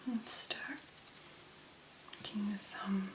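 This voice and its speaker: A woman doing a soft-spoken ASMR demonstration in a gentle, breathy, unhurried murmur with pauses. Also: mid-range voice, steady tone, reverberant. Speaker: ASMR video creator.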